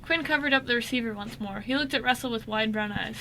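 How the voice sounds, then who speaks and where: A woman's voice: fairly high pitch, quick pace, bright, forceful tone, a little hiss or hum, low-quality recording. A woman narrating a scripted amateur radio drama, now and then stumbling over the script.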